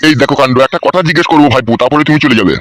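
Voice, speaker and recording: Man as a folksy, coaxing cartoon stranger, quick-talking. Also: a little high, a bit bright, dry recording, natural voice. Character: nosy, folksy passing stranger seeking directions, cartoon character. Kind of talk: cartoon comedy dialogue.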